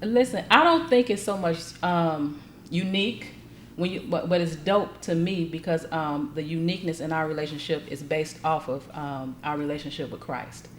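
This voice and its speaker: A woman from Chicago, a podcast guest who talks in a warm, affirming way with frequent agreement. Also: low-pitched, moderate pace, roomy sound, a little hiss or hum. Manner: warm, affirming, lots of mm-hmms. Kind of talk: casual conversation.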